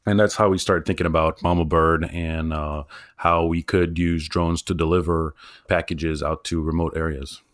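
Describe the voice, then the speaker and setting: A man's voice: low voice, fairly even intonation, moderate pace, dry recording, clean studio sound. A man who co-founded a drone startup, talking loosely about his work with frequent "uh"s and restarts. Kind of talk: casual interview talk.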